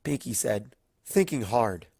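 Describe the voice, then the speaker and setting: A storyteller reads scripted fiction narration expressively. The pitch moves in a lively way, and the sound is dry and close. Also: a little slow, gravelly, husky, no echo.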